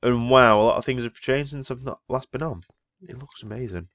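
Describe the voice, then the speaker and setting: A man's voice: mid-range voice, a little fast, a bit bright, no echo. A man who is ill, rambling casually through gameplay commentary and easily impressed by what he sees. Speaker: Minecraft let's player.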